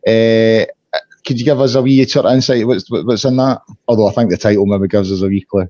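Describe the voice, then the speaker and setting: A hoarse middle-aged Scottish man hosting a casual fan podcast, chatty and full of restarts. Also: on the low side, steady tone, a little fast, warm tone, dry recording, dull audio. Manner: chatty, repeats fillers and restarts. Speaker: podcast host.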